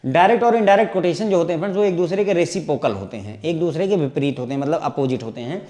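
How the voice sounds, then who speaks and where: A middle-aged Indian man with a fairly high voice gives an explanatory exam prep lecture. He keeps asking the class questions and answering them himself, the way a classroom teacher does. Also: racing, dark tone.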